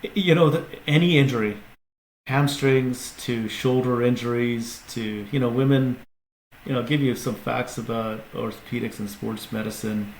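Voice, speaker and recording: A man's voice: medium pitch, steady tone, normal speed, vocal fry, slight background noise. A man, a company CEO, talking casually as a podcast guest, explaining at length with restarts and fillers. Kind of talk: casual interview talk. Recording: echoey room with faint ambience.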